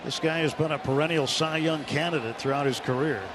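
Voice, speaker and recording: A man's voice: a little high, fairly even intonation, fairly quick. A man with an American accent calling a baseball game live as a radio play-by-play announcer. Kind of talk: live sports commentary. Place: broadcast booth at a baseball stadium. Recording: noisy live radio ballpark broadcast.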